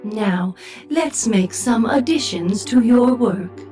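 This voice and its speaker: A woman acting a cold, commanding figure in an audio drama, speaking deliberately. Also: fairly high pitch, animated, mellow, soft background music.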